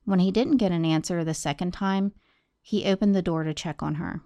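A woman's voice: lowish voice, normal speed, warm tone, no room sound. A woman hosting a true crime podcast, talking to listeners and narrating. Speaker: podcast host.

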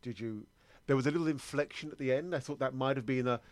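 A man's voice: medium pitch, fast, no echo, close to an everyday voice. A man playing an anxious middle-aged British sweet-shop customer in improvised comedy, rambling, hesitant and stammering as he doubts himself. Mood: flustered. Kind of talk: improvised comedy monologue and sketch.

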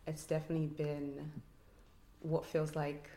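Low, mellow woman, quiet and reflective. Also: completely flat delivery. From Nigeria. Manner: quiet, reflective, halting with ums. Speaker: hairstylist and artist. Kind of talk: casual interview answer. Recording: echoey room with faint noise.

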